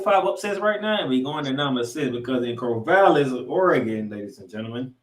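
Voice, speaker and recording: Echoey, emphatic male sports podcast host. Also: fairly high pitch. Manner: emphatic, showman-like delivery with dramatic announcements. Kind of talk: animated sports recap commentary. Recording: echoey room, distant from mic.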